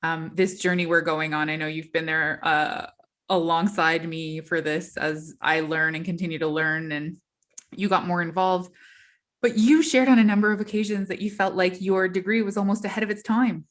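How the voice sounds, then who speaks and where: A woman's voice: on the low side, fairly even intonation, moderate pace. A woman hosting a podcast in a casual interview, asking long, rambling questions full of asides. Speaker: podcast host.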